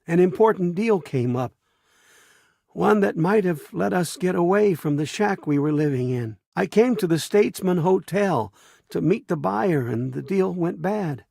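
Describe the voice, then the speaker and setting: Dying father, creaky and tender. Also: high voice, animated, measured pace, a little mellow, no echo, studio quality, exaggerated voice. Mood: tender, sorrowful. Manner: tender, apologetic. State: wounded, weak. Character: dying, regretful father recording farewell to daughter. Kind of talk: video game audio log acting.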